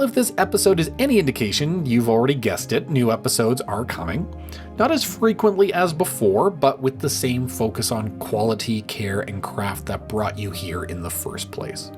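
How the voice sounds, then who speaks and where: Man hosting over clear guitar music. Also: medium pitch, sing-song, normal speed. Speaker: podcast host, creative director. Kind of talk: friendly podcast announcement to listeners.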